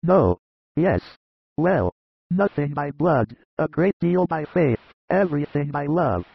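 A man voicing a warm-hearted, plain-spoken male disciple with a fatherly, earnest manner, in an audiobook reading. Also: a little high, expressive, slow, vocal fry, slightly rough, no echo, highs cut off, voice pushed up, heavily acted. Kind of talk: audiobook reading of a religious narrative.

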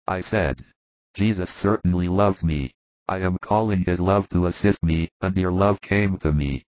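A man voicing a humble male disciple who recounts in an earnest, reverent way, lower than the narration. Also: a little slow, no echo. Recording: muffled dry recording.

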